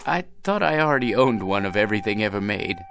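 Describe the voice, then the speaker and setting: An actor playing a wealthy middle-aged businessman who is tired of his money, with a gravelly, wistful way of talking in a radio drama. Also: lowish voice, lively intonation, fast talker, fairly bright tone, faint music behind. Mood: weary. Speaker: wealthy businessman George Hanley.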